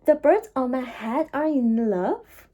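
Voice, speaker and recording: A woman's voice: high-pitched, expressive, slow pace, warm tone, slight background noise. A woman voicing an anxious, exasperated elephant from a children's storybook, full of incredulous questions. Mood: puzzled, worried.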